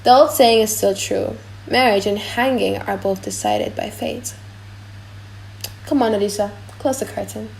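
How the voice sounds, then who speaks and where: A woman voicing a composed, wry young noblewoman in a dramatic audiobook, with measured, formal delivery. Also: medium pitch, vocal fry, darkish tone, poor audio. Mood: dry, wry.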